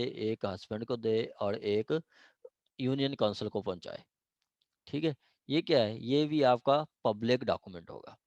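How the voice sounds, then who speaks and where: Male law lecturer, Pakistani accent, mid-pitched voice; explanatory, repeats himself and checks understanding; slightly muffled, dry recording. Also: a little mellow, dry recording. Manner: explanatory, with frequent check-in questions and repetition. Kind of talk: informal word-by-word lecture, mixing in English terms.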